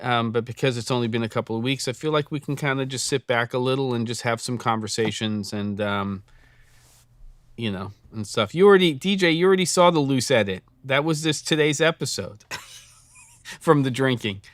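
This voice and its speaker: Casting: male co-host, smooth clear voice, dry close sound, loose rambling chat. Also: mid-range voice, expressive, normal speed, no room sound. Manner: chatty, rambling, laughs often. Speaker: podcast co-host. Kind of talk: casual chat.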